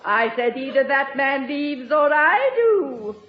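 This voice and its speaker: An actress playing a pompous, indignant old great-aunt in a 1950s radio sitcom, bossy and blustering. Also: female voice, high-pitched, lively intonation, slow pace, over a noisy crowd. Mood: outraged. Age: older. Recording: dull, roomy old radio recording, audience.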